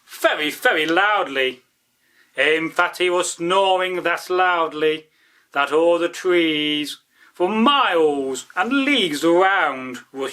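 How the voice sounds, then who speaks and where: A man telling an old folktale as a storyteller, in a deliberate, emphatic, dramatic way that builds by repeating phrases. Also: a little high, bright, forceful tone. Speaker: storyteller, channel host.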